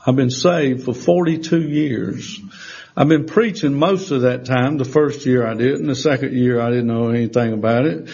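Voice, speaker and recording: A man's voice: mid-range voice, normal speed, creaky, darkish tone, breathy edge. A man in his sixties preaching a folksy sermon in a church, with emphatic rises and call-and-response. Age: 60s. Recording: dull lo-fi sermon recording.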